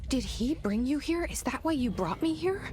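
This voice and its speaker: An actress playing a determined young woman, intense and urgent, sometimes dropping into hushed whispers. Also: high-pitched, animated, moderate pace, background noise. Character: determined young woman searching for her lost brother. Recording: loud music behind.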